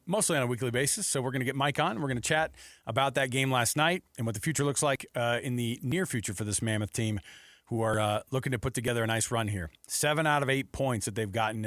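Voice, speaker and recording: A man hosting a sports radio talk show in an informal, slangy, animated way. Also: medium pitch, dry recording, clean studio sound.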